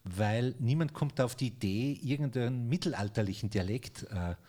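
Casting: radio host, male, mid-pitched, conversational, loose phrasing with filler sounds. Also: normal speed, warm tone. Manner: conversational, many fillers and restarts. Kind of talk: radio interview.

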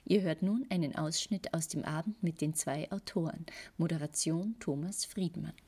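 A woman reading a formal broadcast introduction from a script. Her voice sits on the lower side for a woman. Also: moderate pace, a little mellow, breathy edge. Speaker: announcer.

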